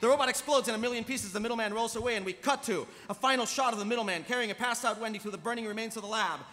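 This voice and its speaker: A man narrates stage directions with dramatic emphasis, racing along at great speed. His voice is high and forceful. It rings in a reverberant convention hall. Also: rapid-fire. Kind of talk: animated script narration. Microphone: podium microphone. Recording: echoey hall over podium microphone.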